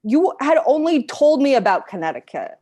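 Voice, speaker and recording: A woman's voice: high voice, sing-song, moderate pace, mellow. A woman playing a frustrated but concerned girlfriend in a live table read, speaking naturally, by turns hesitant and pointed. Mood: exasperated.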